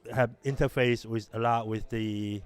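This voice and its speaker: Halting middle-aged man from Taiwan. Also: on the low side, fairly even intonation, clear, resonant tone. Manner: halting, many restarts and fillers. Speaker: interviewed innovation lab director. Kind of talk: conversational interview answers.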